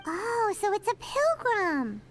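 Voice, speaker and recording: Squeaky little fairy sidekick. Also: female voice, sing-song, slow, slight background noise, exaggerated voice. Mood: curious, teasing. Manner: chirpy, blunt, childlike. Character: small cheeky floating fairy companion girl. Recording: music behind the voice.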